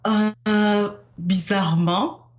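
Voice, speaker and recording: A young woman from France is a guest on a casual interview. She answers in a mid-pitched voice, candid and animated, and often pauses on fillers as she gathers her thoughts.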